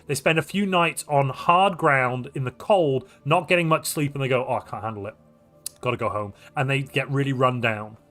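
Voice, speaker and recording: A man's voice: medium pitch, normal speed, soft background music. A man from North Wales, chatting casually and animatedly on a live stream, rambling and joking at his own expense. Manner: animated, rambling, jokey and self-mocking. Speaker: streamer and tournament caster.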